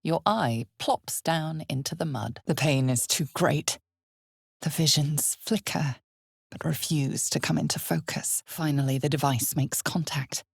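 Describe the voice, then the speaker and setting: A woman playing an ominous, knowing narrator in a fantasy video game, with measured, theatrical, deliberate phrasing. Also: deep voice, vocal fry, husky, no room sound, studio quality, full character voice. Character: female game narrator, ominous, knowing, darkly solemn storyteller. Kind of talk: dramatic second-person fantasy narration.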